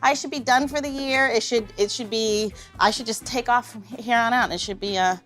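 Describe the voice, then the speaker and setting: A woman's voice: fairly high pitch, normal speed, fairly bright tone, soft background music. A woman hosting a podcast in casual, bantering talk, informal and easily amused. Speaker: podcast host.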